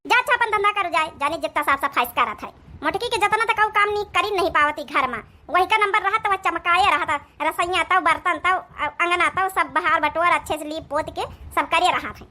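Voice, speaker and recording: A woman acts out an old village mother-in-law from a comedy cartoon, speaking with an Awadhi accent. She is extremely high and piercingly bright in tone. She grumbles and nags with sarcastic bite. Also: brisk, hardly any pauses. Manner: complaining, sarcastic, nagging.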